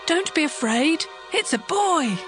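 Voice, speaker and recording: A woman's voice: sits high, lively intonation, unhurried, music in the background. A woman playing an emotional woman at a childbirth in a dramatized audiobook.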